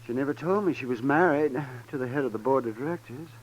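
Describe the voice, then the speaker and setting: An actor playing a crusty, wry frontier town doctor in a 1950s radio western, with a droll, theatrical delivery. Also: male voice, medium pitch, fast talker, slight background noise, natural voice, poor audio.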